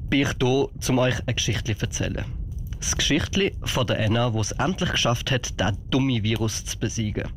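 Male storyteller for a kids' audio play, medium pitch, slight rumbling noise in the background. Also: fairly quick. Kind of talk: children's story narration.

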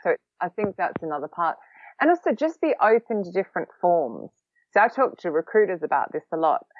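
A woman with an Australian accent, a podcast guest talking casually, quick-witted and a bit sarcastic. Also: on the low side, sing-song, dry recording, dull audio. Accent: Australian, long lived in London. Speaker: podcast guest, social recruiting trainer.

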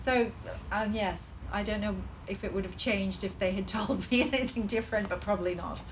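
A woman's voice: mid-range voice, fairly quick, background noise. Hesitant middle-aged woman with a Hong Kong colonial accent. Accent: Hong Kong colonial English. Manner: hesitant, laughs often, many ums. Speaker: vascular surgeon, guest. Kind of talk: podcast interview.

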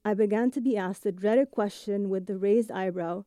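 A woman in her thirties from Egypt, with an accent blending British and American English with Egyptian and Italian touches, reading a measured, reflective first-person radio essay. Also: medium pitch, warm tone, no echo, clean studio sound. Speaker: audio journalist.

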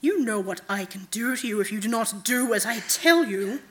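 A woman voicing a cold, manipulative female night god in a deliberate, commanding manner, as in a fantasy audiobook. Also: mid-range voice, expressive, fairly bright tone, natural voice.

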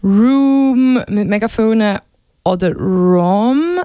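A woman with a Swiss German accent talks casually about music as a radio guest. Her voice is dark and relaxed, recorded dry. She explains things informally, pausing and searching as she goes. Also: mid-range voice, clean tone, no echo.

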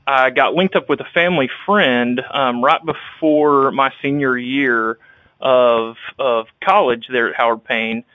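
A young man with a Texan accent, a clinic director telling his career story in a casual interview, halting with frequent restarts. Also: mid-range voice, no room sound, narrow, tinny audio. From Texas. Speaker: guest, rural health clinic director.

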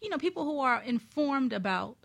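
Higher-voiced woman giving frank expert advice. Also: very animated, brisk, vocal fry, fairly bright tone. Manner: frank, emphatic. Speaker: guest expert on nonprofits. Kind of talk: conversational advice in an interview.

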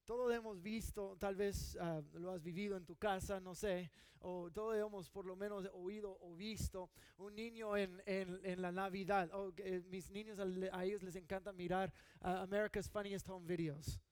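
A man's voice: high voice, fairly even intonation, normal speed, hardly any pauses, a little mellow, clean tone, no room sound. A middle-aged man from California preaching a sermon in an earnest, conversational way, often restarting his sentences. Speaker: pastor.

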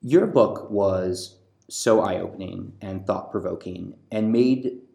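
A man's voice: sits low, takes it slowly, creaky, mellow, reverberant. A man hosting a podcast interview, polished and complimentary toward his guest. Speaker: podcast host, editor.

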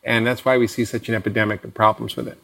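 A man hosting an interview, affirming and summarizing the guest's points in a brisk way. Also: on the low side, level tone, vocal fry, fairly bright tone. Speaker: interview host. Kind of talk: interview conversation.

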